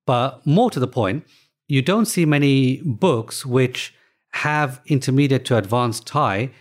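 A middle-aged man, the guest of an interview, talking in a relaxed, thoughtful way with plenty of "you know" fillers. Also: mid-range voice, measured pace. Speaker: guest, author of language books.

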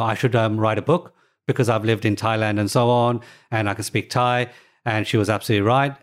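A middle-aged man, the guest of an interview, talking in a relaxed, thoughtful way with plenty of "you know" fillers. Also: mid-range voice. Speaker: guest, author of language books.

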